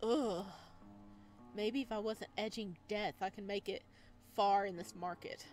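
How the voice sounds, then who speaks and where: A woman's voice: mid-range voice, steady tone, a little slow, darkish tone. Chatty woman narrating over background music. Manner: chatty, reads aloud with asides. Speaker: gaming video host. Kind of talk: visual novel let's play, reading text aloud with casual commentary.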